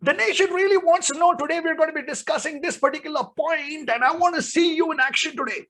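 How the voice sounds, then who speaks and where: A man playing a loud, urgent, theatrical prime-time TV news anchor demanding attention. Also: extremely high pitch, fast talker, pitched above the performer's own voice, full character voice. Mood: bombastic, demanding.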